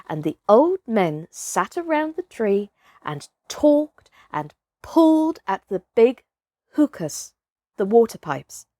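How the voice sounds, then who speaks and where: A woman's voice: medium pitch, darkish tone, no echo, studio quality. A woman narrating a children's story expressively, as a storyteller. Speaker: storyteller, actress.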